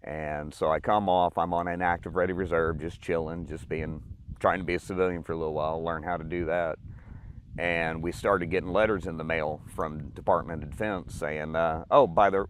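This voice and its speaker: A man's voice: sits low, a little hiss or hum. An American man in his forties, an Army veteran, telling his own story informally in plain, colloquial words.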